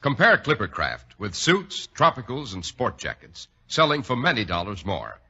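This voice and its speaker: Bright, animated 1940s radio announcer man. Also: mid-range voice, normal speed, breathy edge, soft background music. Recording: dull lo-fi old radio recording.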